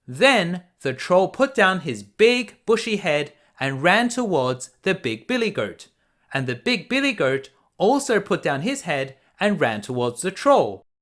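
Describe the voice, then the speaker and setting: A man reading a children's bedtime story aloud in an expressive storytelling manner. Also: a little high.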